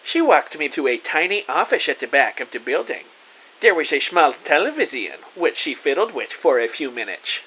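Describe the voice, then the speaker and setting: Man playing self-important private eye, audio drama narration, highish pitch, lively intonation, theatrical. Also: normal speed, highs cut off, a little hiss or hum. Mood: intent, dramatic. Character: self-important, excitable male amateur private investigator. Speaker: narrator and lead actor. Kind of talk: first-person detective audio drama narration.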